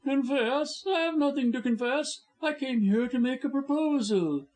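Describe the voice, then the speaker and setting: A man's voice: very high voice, normal speed, a little mellow, pitched above the performer's own voice. A man reading an audiobook, voicing a scheming male lawyer who sneers and threatens. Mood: insolent.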